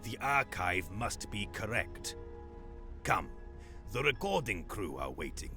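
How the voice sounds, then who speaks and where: A man's voice: fairly low pitch, big pitch swings, slow, pushed and bright, soft background music, exaggerated voice. An actor playing a formal, exacting male broadcast official in an audio drama, speaking in a stiff, precise, officious manner. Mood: cold, officious.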